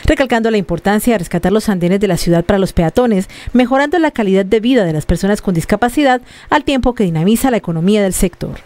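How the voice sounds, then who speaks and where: A reporter reading a scripted news voice-over briskly. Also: medium pitch, expressive, a little mellow.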